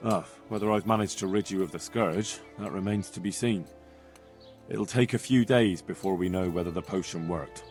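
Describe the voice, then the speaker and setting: A deep-voiced, calm male healer, acted by a man in a video game. He is kindly and measured, with faint music beneath him. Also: lightly acted. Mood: cautious. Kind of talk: dramatic video game dialogue.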